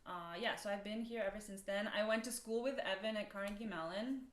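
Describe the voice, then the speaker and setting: Thirties woman from Chicago, casual video-call guest, relaxed and chatty, roomy sound. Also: mid-range voice, fairly quick. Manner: relaxed, laughs often, trails off with fillers. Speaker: violinist guest. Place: home room, shared with a colleague. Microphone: laptop video call. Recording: roomy video call with faint background hiss.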